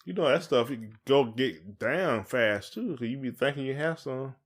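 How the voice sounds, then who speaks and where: Southern us guy cohosting a podcast, folksy n jokey, just chatting, medium pitch. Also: animated, normal speed, breathy edge. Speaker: podcast co-host. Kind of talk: casual chat.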